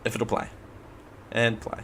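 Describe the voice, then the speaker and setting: Hoarse man hosting a live show. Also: unhurried, a bit bright. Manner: rambling, self-mocking, stumbles over names. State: hoarse after flu. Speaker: host. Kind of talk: casual solo live show, reading news. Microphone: headphones at a desk mic.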